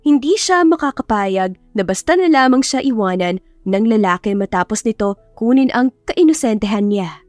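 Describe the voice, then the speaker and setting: A woman's voice: on the high side, lively intonation, normal speed, a little mellow, no echo. A woman narrating a scripted romance audiobook in a dramatic storytelling style. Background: faint music.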